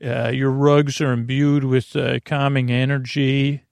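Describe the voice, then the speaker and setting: A man hosting a bedtime podcast in a rambling, halting monologue, whimsical and gently reassuring, often repeating himself and trailing off. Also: mid-range voice, flat delivery, creaky, clear, resonant tone, no room sound, clean studio sound. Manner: meandering, halting, repeats and trails off mid-sentence. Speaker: podcast host.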